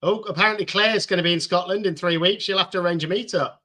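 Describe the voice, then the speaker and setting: Chatty guy hosting a live stream, highish bright voice, friendly and encouraging, video call audio. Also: fast. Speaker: host. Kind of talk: casual live-stream chat.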